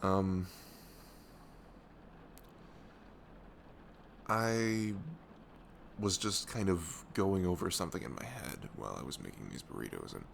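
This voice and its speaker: A man playing a devoted, goofy young best friend in an ASMR audio roleplay, earnest and nervously lovestruck, with a soft velvety voice. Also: low-pitched, lively intonation, creaky, a little hiss or hum, exaggerated voice. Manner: hesitant, halting, earnest.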